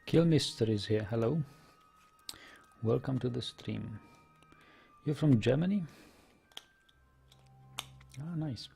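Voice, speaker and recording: A young man with a Czech accent, a native Czech speaker, chatting as he streams a game, explaining in halting bits with many restarts. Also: mid-range voice, gravelly, dark tone, slightly rough, faint music behind. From Czech Republic. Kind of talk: live stream gameplay chat. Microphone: headset.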